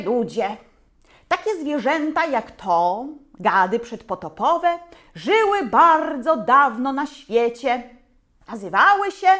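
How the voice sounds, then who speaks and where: A woman's voice: fairly high pitch, voice pushed up, exaggerated voice. Woman doing an eager male nature teacher. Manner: lecturing, then excitedly exclaiming.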